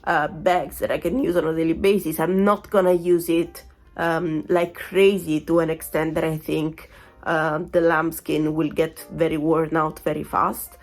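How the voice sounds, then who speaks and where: Woman in her thirties, lowish voice, getting over a cold, chatty rambling vlog talk, soft music underneath. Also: level tone, measured pace. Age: 30s. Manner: chatty, rambling, lots of fillers and small laughs. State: recovering from a cold, voice sounds off. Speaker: vlogger. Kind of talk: casual unboxing chat.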